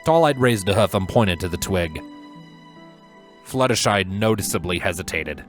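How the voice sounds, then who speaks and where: A man narrating a scripted story in a measured, dramatic storytelling manner. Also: low-pitched, lively intonation. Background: music.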